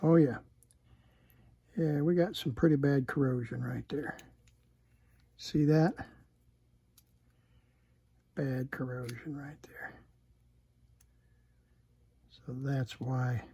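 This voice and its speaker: A man with a mellow, somewhat raspy voice gives casual hands-on repair commentary. He speaks slowly and haltingly. He often drops into a mutter, as if talking to himself while he works. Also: medium pitch, steady tone. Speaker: host demonstrating electronics repair.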